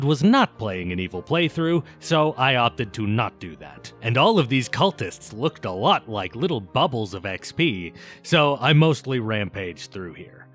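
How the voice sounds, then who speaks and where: A man's voice: mid-range voice, fairly bright tone. A man narrating a scripted but conversational video essay in a wry, casual, emphatic way with some swearing. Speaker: video essayist and game reviewer.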